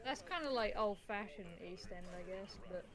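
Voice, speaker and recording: A woman's voice: medium pitch, animated, normal speed, crowd noise. A woman chatting casually on a gaming stream, blunt and opinionated. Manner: blunt, opinionated, laughs easily. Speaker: stream co-host.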